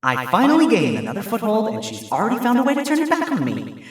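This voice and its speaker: A man's voice: high voice, huge pitch swings, fast, breathy edge, no room sound, pitched above the performer's own voice, exaggerated voice. A man voicing a sarcastic, wisecracking male fox defense attorney with a dry, exasperated delivery.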